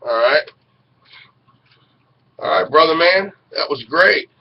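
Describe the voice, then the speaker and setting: A man's voice: a little high, expressive, takes it slowly, recorded from a distance, highs cut off. A middle-aged man hosting an informal web show in an enthusiastic, chummy way. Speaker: radio show host. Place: small indoor room with posters. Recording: noticeably noisy home webcam audio.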